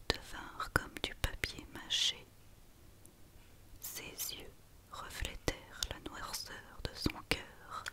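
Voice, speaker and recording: Woman doing asmr storytime, all whispered n breathy, super slow, crisp bright sound. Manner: slow, soothing, gentle delivery.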